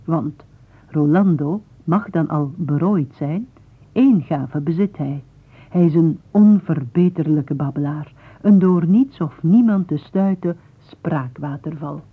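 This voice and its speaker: A woman's voice: sits low, takes it slowly, slightly rough. A woman giving a formal, literary scripted introduction to a radio play. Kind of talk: scripted narration. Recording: muffled narrow-band 1980s radio recording.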